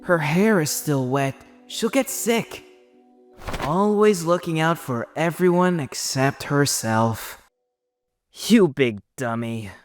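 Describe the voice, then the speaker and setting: A man's voice: a little high, lively intonation, slow pace, no room sound, soft background music. A young man voicing a boyish, impulsive and secretly caring friend in a webtoon fan dub. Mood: fond, grumbling.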